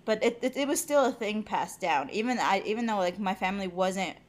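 Podcast host: woman, native Spanish speaker, mid-pitched, loose and profane, quick to laugh. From Mexico. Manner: profane, laughs often, animated storytelling. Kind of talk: casual chat.

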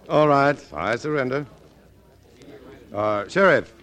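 An actor playing a modest, genial scientist with a hesitant, understated manner in a 1950s radio drama. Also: male voice, medium pitch, animated, drawn-out words, a bit bright.

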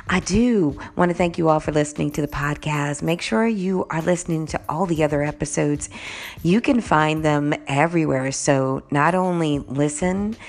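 Woman podcaster, low gravelly voice with a bit of grog, chatty, keeps saying y'all. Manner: warm, conversational, says "right?" and "y'all" a lot. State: slightly groggy voice. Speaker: podcast host. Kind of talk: casual solo podcast talk.

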